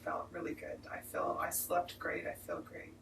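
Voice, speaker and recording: A woman sharing a personal experience with a class in a soft, half-whispered, earnest way. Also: moderate pace. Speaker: class participant. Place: clinic room. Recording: roomy, distant mic, faint noise.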